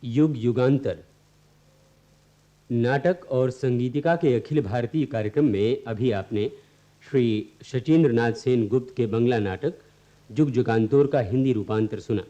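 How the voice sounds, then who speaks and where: A man's voice: mid-range voice, measured pace, mellow. A man reading a formal, scripted announcement as a radio announcer. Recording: muffled old radio recording.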